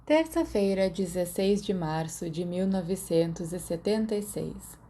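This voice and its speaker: A woman's voice: fairly low pitch, animated, unhurried, mellow, a little hiss or hum. A woman with a Brazilian accent reads a story aloud as scripted audiobook narration. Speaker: podfic narrator.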